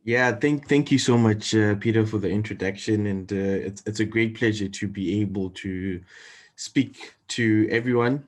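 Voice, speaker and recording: A man's voice: fairly low pitch, moderate pace. A young man from Zimbabwe in his twenties, a guest telling his story earnestly in an interview over a video call. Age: 20s. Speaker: guest entrepreneur. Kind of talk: interview answers.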